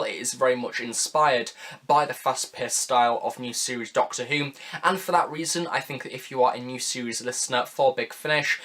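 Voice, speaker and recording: A young man reviewing for viewers in a chatty, wordy way, often softening his opinions. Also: mid-range voice. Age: young adult. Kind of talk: scripted-sounding audio drama review, talking to viewers.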